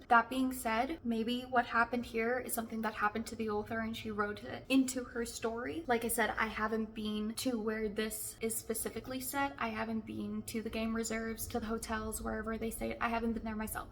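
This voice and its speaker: A woman's voice: on the high side, fairly bright tone. A young South African woman with a South African accent reviewing a book in a casual chatty vlog, full of "like" fillers. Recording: distant mic with faint background hiss.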